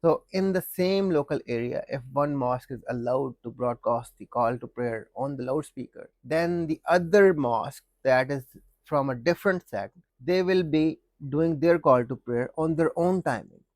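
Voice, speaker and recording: A man with a Pakistani accent giving an explanatory opinion monologue, speaking in a measured, deliberate way and rephrasing his points. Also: medium pitch, darkish tone, no echo. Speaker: commentator.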